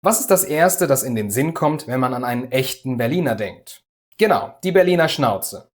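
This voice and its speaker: Calm man explaining a language lesson. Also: mid-range voice, moderate pace. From Düsseldorf area, Germany. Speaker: language teacher, host.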